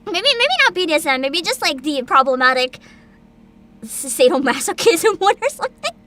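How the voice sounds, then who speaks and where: A woman streamer in casual livestream chat, excitable and giggly, rambling with frequent laughter. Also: high-pitched, normal speed. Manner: reads a plot description aloud with asides. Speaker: VTuber streamer.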